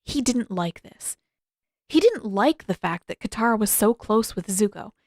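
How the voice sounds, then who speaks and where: A woman reading scripted fiction as a narrator, with expressive, dramatic emphasis. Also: medium pitch, moderate pace, dry recording, clean studio sound. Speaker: audiobook-style fan fiction reader.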